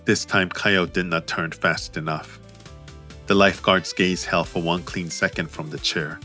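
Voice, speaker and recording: A man reading an audiobook romance as narrator in a measured, steady way. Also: low-pitched. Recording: faint music behind.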